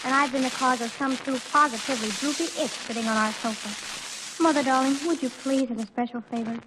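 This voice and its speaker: A woman's voice: high-pitched, a little fast, natural voice. A woman acting a gushing, boy-crazy teenage girl in a 1940s radio sitcom, full of exaggerated slang and melodrama. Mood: pleading. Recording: noisy 1940s radio recording.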